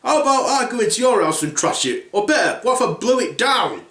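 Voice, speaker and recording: A man's voice: extremely high pitch, sing-song, a little fast, a bit bright, reverberant, voice pushed up, heavily acted. A man voicing a crude, rude male big bad wolf with working-class slang in a comic fairy tale. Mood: taunting.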